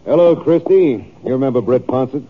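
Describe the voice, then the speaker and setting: A man's voice: mid-range voice, animated, a little fast, close to an everyday voice. Male actor as bossy western rancher, hearty and folksy, over thin, tinny vintage radio with slight noise. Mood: friendly. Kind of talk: 1950s radio western acting. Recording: tinny, noisy old radio broadcast.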